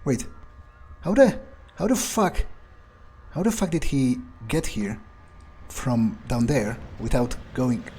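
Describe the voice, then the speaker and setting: Man, medium pitch, musing, hesitant, in-character gameplay monologue, faint game noise behind. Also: sing-song, a little mellow. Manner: musing, hesitant, halting thinking aloud. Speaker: gamer playing in character.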